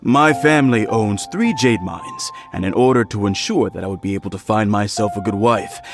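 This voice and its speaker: Man playing a courteous rich innkeeper, lowish voice, creaky edge, earnest, video game dialogue, music underneath. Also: moderate pace, close to an everyday voice. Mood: polite, earnest. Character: polite, wealthy, grateful male innkeeper.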